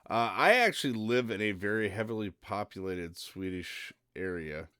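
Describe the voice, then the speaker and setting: Deep-voiced man streaming. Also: expressive, moderate pace, sharp tone, clean tone, dry recording, clean studio sound. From central Minnesota. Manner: rambling and easygoing, with frequent "uh" fillers. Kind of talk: casual live-stream chat.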